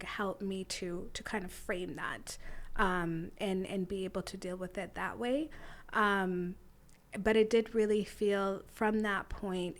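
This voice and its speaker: A woman's voice: medium pitch, fairly bright tone. Thoughtful woman guest, Arabic first language. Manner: thoughtful, measured, with many fillers. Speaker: podcast guest. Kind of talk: conversational interview.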